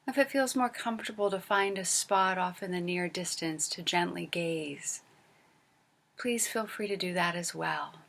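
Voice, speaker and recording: Woman meditation teacher, lowish voice, soft breathy endings, takes her time guiding a body scan. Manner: gentle, unhurried instruction with long pauses.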